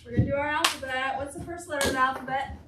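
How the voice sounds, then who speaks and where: A woman's voice: sits high, fairly even intonation, rapid-fire. A woman leading a preschool storytime, encouraging small children with praise and simple instructions. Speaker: children's storytime leader at a public library. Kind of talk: preschool storytime teaching, alphabet and counting songs. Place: indoor room at a library. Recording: echoey room, distant mic, clear background noise.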